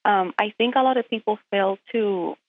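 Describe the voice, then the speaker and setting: Gravelly Puerto Rican woman on a phone line. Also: mid-range voice, normal speed. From Puerto Rico. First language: Spanish. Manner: direct, emphatic, argues with rhetorical questions. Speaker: truck driver, interview guest. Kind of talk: interview by phone.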